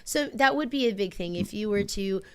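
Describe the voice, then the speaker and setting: A woman's voice: mid-range voice, animated, fairly quick, crowd noise. An American woman co-hosting a radio show, chatting in an informal, playful way. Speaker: radio co-host. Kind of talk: casual chat.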